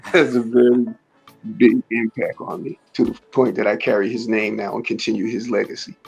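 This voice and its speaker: Raspy-voiced man, halting and thoughtful. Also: fairly low pitch, level tone, a little mellow, soft background music, low-quality recording. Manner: halting, many fillers and restarts. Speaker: martial arts teacher, interview guest. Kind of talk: casual conversational interview.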